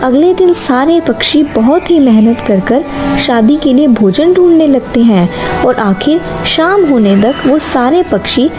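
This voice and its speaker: A woman's voice: high voice, lively intonation, unhurried, over music. A woman reading a scripted children's story as its narrator.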